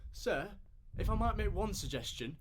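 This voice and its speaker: A man's voice: high-pitched, lively intonation, normal speed, background noise. A man playing a resourceful young trench soldier, chatty and wry, in an audio drama. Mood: eager. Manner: chatty, wry, plain-spoken.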